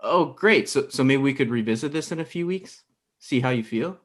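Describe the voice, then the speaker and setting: A man's voice: medium pitch, expressive, moderate pace, lightly acted. A man in his thirties playing a needy, wounded, blustering dumped boyfriend in a table read over a video call. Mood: pleading, hurt. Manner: pleading, blustering. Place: indoor room on a video call.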